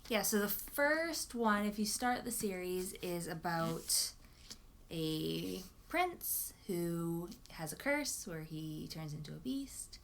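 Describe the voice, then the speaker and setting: A woman's voice: lowish voice, expressive, slow pace, gravelly, a little hiss or hum. Casual, enthusiastic woman book vlogger. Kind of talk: casual chat to camera about books.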